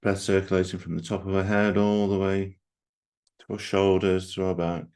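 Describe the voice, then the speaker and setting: A man with a low voice guides a meditation for a group. He speaks gently and takes his time, leaving long, open pauses between short phrases. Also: level tone, clear, resonant tone. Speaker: meditation guide leading a live group session.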